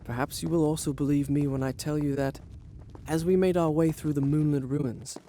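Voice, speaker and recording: A man's voice: on the high side, steady tone, normal speed, mellow, a little hiss or hum, close to an everyday voice. An actor playing a proud, sardonic young Persian prince, telling his adventure in eloquent, formal, dramatic game dialogue. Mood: solemn storytelling. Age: young adult. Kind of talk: dramatic video game voice acting and storytelling. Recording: music behind.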